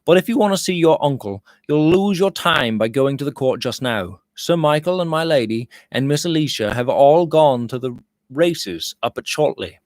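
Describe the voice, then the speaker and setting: A polite village landlord from a Victorian storybook, read by a man. He is helpful and deferential, speaking a little higher than a middle male pitch. The recording is dry. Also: expressive, normal speed, a little mellow, no room sound, close to an everyday voice.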